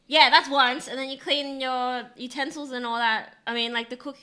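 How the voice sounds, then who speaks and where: A woman's voice: high-pitched, steady tone, moderate pace, sharp tone. A young Australian woman co-hosting a podcast, chatting casually with a teasing edge. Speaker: podcast co-host. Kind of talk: casual chat.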